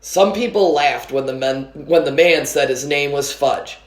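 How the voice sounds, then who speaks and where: A woman reading a children's book aloud with an expressive storytelling manner. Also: deep voice, unhurried, reverberant. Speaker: story reader.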